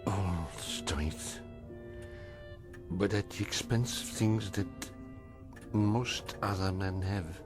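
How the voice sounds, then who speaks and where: An actor playing a sorrowful, reproachful mentor in a radio drama, grave, halting and deliberate. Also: male voice, low voice, music in the background. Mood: mournful reproach.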